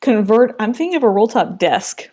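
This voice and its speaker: A woman's voice: on the high side, expressive, normal speed, mellow. A woman chatting casually and playfully as a co-host reacting to a story. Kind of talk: casual chat.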